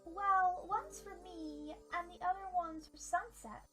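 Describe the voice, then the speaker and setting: A woman's voice: very high-pitched, measured pace, sharp tone, soft background music, heavily acted. A woman voicing a cheerful, friendly young mare pony who keeps encouraging her studious friend. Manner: upbeat, encouraging.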